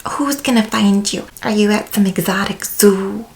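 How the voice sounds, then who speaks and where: A woman's voice: mid-range voice, normal speed, gravelly, lightly acted. A woman playing a self-important, condescending senior law partner on the phone in a theatrical ASMR comedy role play. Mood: puzzled, questioning. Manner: theatrical, condescending, calling people darling.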